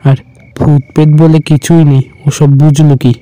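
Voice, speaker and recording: A man voicing a poor, desperate village fisherman, a devoted husband who speaks earnestly and haltingly in a horror cartoon. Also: on the high side, level tone, warm tone, dry recording, close to an everyday voice. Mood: reassuring, stubborn.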